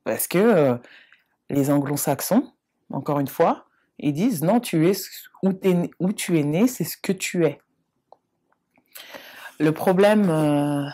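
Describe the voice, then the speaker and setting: A woman's voice: low voice, warm tone. A woman from France speaking reflectively and earnestly in a casual interview, acting out remembered exchanges. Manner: reflective and earnest, mimics others in quoted exchanges. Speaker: documentary interviewee.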